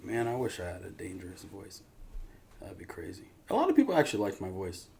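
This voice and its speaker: A man's voice: deep voice, big pitch swings, fast talker, darkish tone. A man hosting a reaction video, chatting in a slangy, rambling, joking way. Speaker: reaction show host. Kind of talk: casual reaction chat. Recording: clear background noise.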